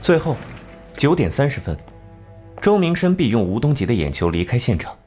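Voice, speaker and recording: A man acting a cool, sardonic genius detective in an animated crime drama. His mid-pitched voice rises and dips expressively as he reasons in measured, analytical steps, with music playing behind him. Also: lightly acted. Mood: coolly explaining. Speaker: detective laying out a case.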